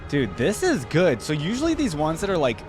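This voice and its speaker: A man's voice: on the high side, huge pitch swings, brisk. Casual, enthusiastic man reacting over music. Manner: enthusiastic, informal, conversational. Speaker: YouTube reaction host.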